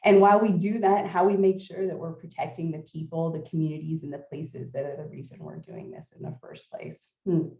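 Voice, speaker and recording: A woman from California giving remote welcome remarks as an institute president, hoarse and clearing her throat often. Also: sits low, steady tone, darkish tone. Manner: frequent throat clearing, measured. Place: at home, remote video link. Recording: dull, echoey remote audio.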